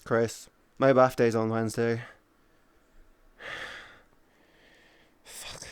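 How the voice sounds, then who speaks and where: A man's voice: medium pitch, little pitch movement, very slow, smooth, no room sound. A man in his thirties streaming, rambling in casual chat with his viewers, swearing freely and greeting chatters by name. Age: 30s.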